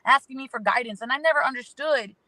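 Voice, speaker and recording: A woman's voice: high voice, quick pace, sharp tone, no room sound, rough recording. A woman appearing as an astrology guest, giving spiritual advice in an emphatic way that stacks phrases into lists.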